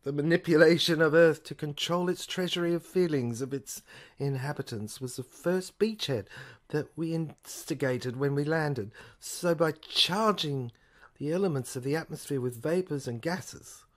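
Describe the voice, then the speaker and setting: A woman's voice: sits low, moderate pace, natural voice. A woman voicing a sinister, sarcastic male chief detective, an alien invader lecturing pompously in an audio play.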